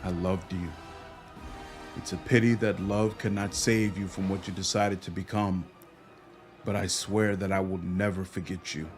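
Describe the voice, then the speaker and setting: An actor playing a grieving, gentle cleric in a video game, speaking softly and with measured sorrow. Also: male voice, sits low, flat delivery, faint music behind, close to an everyday voice. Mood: grieving, tender. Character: grieving gentle male cleric parting from his lover. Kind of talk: video game drama acting.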